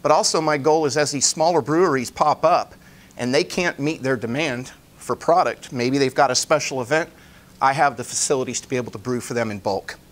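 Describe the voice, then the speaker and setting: A man in his forties presenting a business pitch live in a meeting room, plain-spoken, in short emphatic phrases. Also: a little fast. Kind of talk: informal live presentation with slides. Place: indoor meeting room, standing at a lectern.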